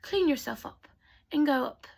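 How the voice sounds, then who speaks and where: A woman's voice: high voice, expressive, moderate pace, gravelly, darkish tone. A woman voicing a stern, bossy stepmother in a storybook tale, giving orders.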